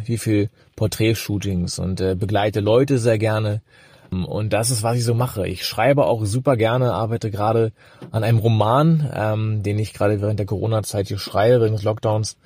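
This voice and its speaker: A man's voice: on the low side, husky, no room sound. A man in his thirties from Germany, a photographer, talking loosely in a recorded voice message with many fillers. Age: 30s. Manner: relaxed, rambling, many fillers. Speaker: photographer guest. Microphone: phone voice message.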